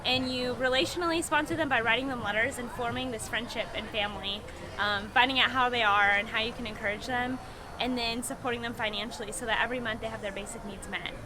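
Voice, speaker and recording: A woman's voice: on the high side, fairly even intonation, fast, poor audio. A woman explaining the work of a charity ministry in an upbeat manner, with frequent "um" fillers. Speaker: ministry representative. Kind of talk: informational pitch.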